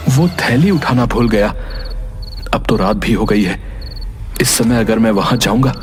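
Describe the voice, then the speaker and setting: A man playing an honest, humble Brahmin bullock-cart driver in a moral-story cartoon, speaking earnestly and deliberately. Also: mid-range voice, lightly acted. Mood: dismayed. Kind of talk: acted Hindi moral-story cartoon dialogue.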